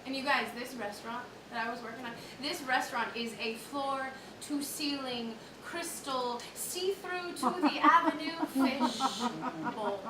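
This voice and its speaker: Woman, thirties, high voice, theatrical storyteller, full of laughs. Roomy theatre recording from far off. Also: a little slow, few pauses, a crowd in the background. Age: 30s. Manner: storytelling with laughs, mimicking customers. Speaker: solo stage performer. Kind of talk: theatrical monologue. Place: theatre stage with live audience. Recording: roomy theatre sound from a distance. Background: upright bass, audience laughter.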